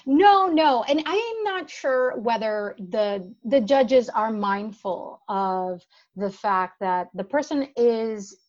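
A woman's voice: on the high side, expressive, takes it slowly, muffled recording. A woman in her thirties from the Dominican Republic, an immigration attorney talking through her work with frequent restarts. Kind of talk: conversational chat.

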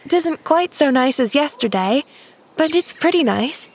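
A woman's voice: sits high, animated, measured pace, pitched above the performer's own voice. A woman voicing a cheerful, friendly, chatty young girl in a storybook, with an eager, chirpy manner. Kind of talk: storybook character voice.

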